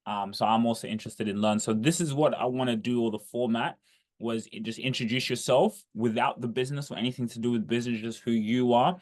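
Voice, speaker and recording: A man's voice: medium pitch, fairly even intonation, fast. A man hosting a podcast, asking long, rambling questions with encouraging restarts. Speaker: podcast host. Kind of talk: casual podcast interview.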